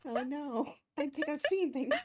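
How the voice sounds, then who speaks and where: A woman's voice: high voice, expressive, unhurried, a crowd in the background. A woman chatting casually with other players during a roleplay game.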